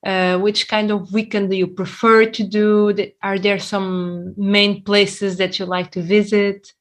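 Interviewer: woman, medium pitch, conversational questioning in speech. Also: flat delivery, a little slow.

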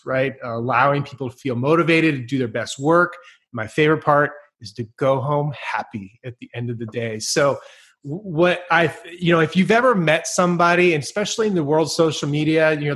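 An enthusiastic man hosting a podcast interview, his voice sitting a little higher than most men's. He talks with eager energy and laughs easily while introducing his guest. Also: a bit bright. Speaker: podcast host.

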